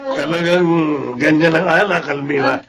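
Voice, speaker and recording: A man's voice: on the high side, steady tone, normal speed, gravelly, crowd noise. A man playing a proud, doting, jovial father in a Filipino radio drama, hearty and boastful.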